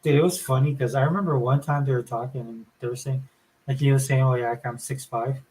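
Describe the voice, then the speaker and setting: A man's voice: medium pitch, fairly even intonation, fast talker, darkish tone, breathy edge, rough recording. A man with a somewhat whiny voice chatting casually on a podcast, loose and informal, saying like and dude often. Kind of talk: casual chat.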